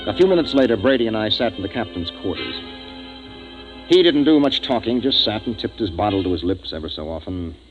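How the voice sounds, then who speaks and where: An intense American starship captain, lively delivery. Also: male voice, on the low side, fairly quick, highs cut off, faint music behind. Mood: grave narration. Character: decisive, brooding male spaceship captain narrating his expedition. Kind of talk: 1950s radio drama acting and narration. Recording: 1950s radio drama recording.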